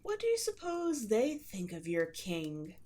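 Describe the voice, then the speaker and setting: A woman performs a demon queen in an audio roleplay, gloating and imperious. She speaks slowly and theatrically at a medium female pitch. Her seductive menace often sinks toward a breathy whisper. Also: wildly swooping pitch, dark tone. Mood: haughty, persuasive. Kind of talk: roleplay audio drama monologue.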